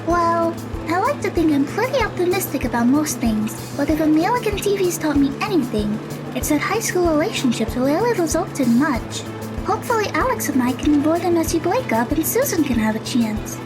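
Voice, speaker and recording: High schooler, kind and hopeful woman actor: notably high girlish pitch, audio drama, clear music bed. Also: big pitch swings, fairly quick, poor audio. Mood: reassuring. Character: optimistic, kindly teenage high school girl.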